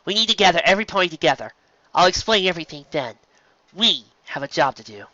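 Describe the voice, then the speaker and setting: A man's voice: on the high side, big pitch swings, fast, sharp tone, no room sound, highs cut off, pitched above the performer's own voice. A man voicing a composed, responsible young female pony who takes charge and reassures.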